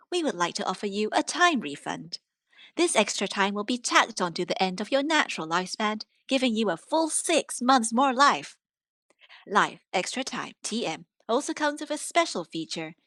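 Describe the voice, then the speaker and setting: A woman reads prepared literary prose aloud in a mid-pitched voice. The sound is dry and close, with hardly any room in it. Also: a bit bright, no echo. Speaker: reader of poetry and prose on a podcast. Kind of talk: scripted literary reading.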